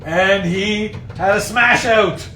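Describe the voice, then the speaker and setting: A man leading an informal Bible lesson, putting questions to his listeners in a slangy, jokey way and acting out lines loudly. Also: high-pitched, takes it slowly. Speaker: Bible study host and teacher. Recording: voice over clear background noise.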